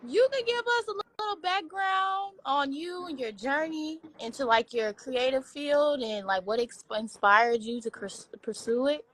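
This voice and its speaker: A woman's voice: high-pitched, lively intonation, unhurried, hardly any pauses, fairly bright tone, poor audio. A young woman in her twenties, a student interviewer asking questions in a hesitant way, full of fillers and restarts. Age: 20s. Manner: hesitant, filler-heavy, self-correcting.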